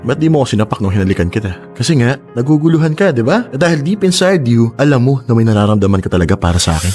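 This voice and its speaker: An actor playing a persistent, lovestruck young coworker, confronting and challenging in a Tagalog radio drama. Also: male voice, mid-range voice, very animated, rapid-fire, darkish tone, faint music behind, natural voice. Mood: provoking.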